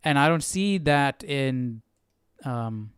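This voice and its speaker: A man's voice: mid-range voice, expressive, takes it slowly, smooth, dry recording, clean studio sound. A young man hosting a casual study livestream, musing aloud as if to himself. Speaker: livestream host. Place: small indoor room.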